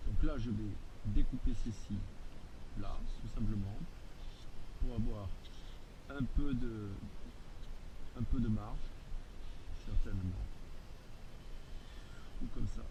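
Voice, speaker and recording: A man giving an informal hands-on workshop demonstration, speaking in halting, short remarks as he works. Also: lowish voice. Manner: halting, muttering short remarks while working. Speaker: DIY video maker. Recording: clear background noise and ambience.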